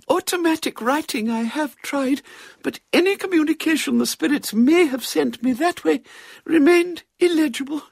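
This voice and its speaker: A man acts out a dotty elderly lady from a storybook, pitched extremely high. She is dreamy and gushing, with an affected, theatrical way of drawing out her words. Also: creaky, no room sound, full character voice.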